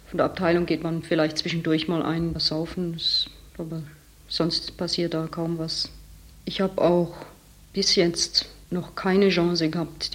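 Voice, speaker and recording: A young woman, a department store saleswoman, frankly describing her workplace in an interview. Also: on the low side. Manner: frank, critical, talks with some hesitation.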